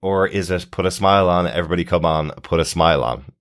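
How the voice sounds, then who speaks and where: A man's voice: deep voice, quick pace. A man co-hosting a casual TV review podcast, chatting conversationally and reading out feedback from listeners. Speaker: podcast co-host.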